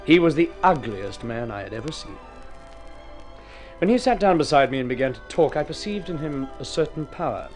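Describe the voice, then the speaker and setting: A talkative, urbane gentleman played by a man from a vintage radio drama. His highish voice rises and falls freely as he speaks in precise, pompous phrases. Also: lively intonation, fairly quick, soft background music, close to an everyday voice. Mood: reflective narration. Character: urbane, talkative gentleman narrator, fussy intellectual. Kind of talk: mid-century radio drama acting.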